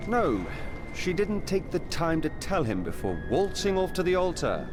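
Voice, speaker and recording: Lively sardonic rogue. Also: male voice, on the high side, normal speed. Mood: sardonic, defensive. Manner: sardonic, informal. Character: brash, defensive male rogue with sardonic wit. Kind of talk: dramatic video game voice acting. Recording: music behind the voice.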